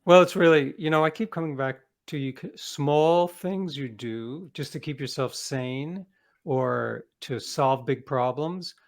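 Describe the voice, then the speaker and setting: A man's voice: a little high, measured pace. A man speaking as a guest author in a conversational interview, explaining things reflectively with examples.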